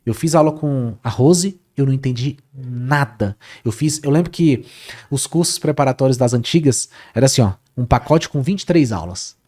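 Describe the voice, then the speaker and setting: Male guest, casual animated storyteller, repeats himself, lots of fillers. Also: mid-range voice, moderate pace. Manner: animated, repeats words, says "cara" often. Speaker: guest, Portuguese teacher.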